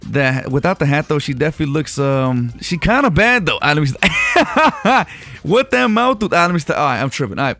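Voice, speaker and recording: Young man, higher-pitched, casual gaming commentary. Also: very animated, brisk, bright, forceful tone, faint music behind. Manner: slangy, chatty, informal. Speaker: gaming video host and streamer.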